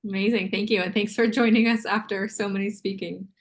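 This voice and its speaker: A woman's voice: mid-range voice, steady tone, fairly quick. A woman moderating an online panel, friendly, with frequent ums and uhs. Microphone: video call.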